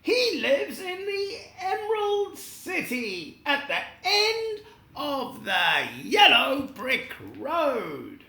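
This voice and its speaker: A storyteller voicing a kind, welcoming woman in a storybook, giving directions in a high, airy voice. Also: huge pitch swings, drawn-out words, vocal fry, bright, forceful tone, echoey room, exaggerated voice. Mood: helpful.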